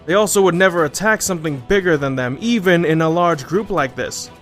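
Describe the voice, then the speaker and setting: A man playing a dry, learned monster hunter in an audio drama, with a measured and wry delivery. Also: a little high, expressive, a bit bright. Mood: grave, explaining. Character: dry, knowing male monster hunter with crossbow. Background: background music.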